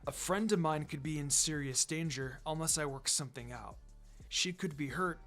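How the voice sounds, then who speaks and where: A man's voice: fairly high pitch, normal speed, fairly bright tone, soft background music, voice pushed down, close to an everyday voice. A man voicing a hot-headed, worried teenage ninja boy in a story reading, with informal, earnest delivery. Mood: troubled, hesitant.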